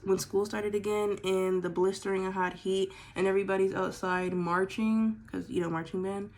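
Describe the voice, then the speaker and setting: A 22-year-old woman talking casually about herself in a get-to-know-me vlog while doing her makeup, with lots of "like" and "girl". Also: mid-range voice. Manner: chatty, filler-heavy, says "like" and "girl" a lot. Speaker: vlogger.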